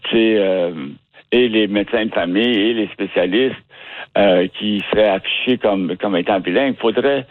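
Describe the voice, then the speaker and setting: A man's voice: on the low side, dry recording. A man from the Ottawa region answering interview questions in a casual, hesitant way, with many fillers and restarts. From Ottawa region, Canada. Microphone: phone. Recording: phone line.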